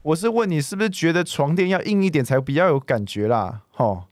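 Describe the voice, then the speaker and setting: A man's voice: on the high side, expressive, brisk, background noise, natural voice. A young man acting in a radio drama as a teasing, earnest man in his forties courting an old friend. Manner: teasing, persuasive.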